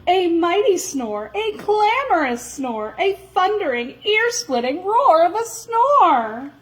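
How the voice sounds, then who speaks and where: A woman reading a children's story aloud in a dramatic, expressive way, drawing out words for emphasis. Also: very high-pitched, slow pace, a little hiss or hum.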